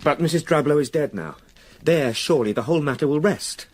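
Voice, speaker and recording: An actor playing an earnest, shaken young gentleman in a radio drama, questioning with urgent intensity. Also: a little high, animated, a little fast, slightly rough.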